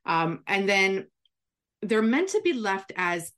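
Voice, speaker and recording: A woman's voice: medium pitch, very animated, slow, a bit bright. A woman guest giving cooking advice in a chatty, instructive radio style, often checking in with "right?". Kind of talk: explanatory radio chat.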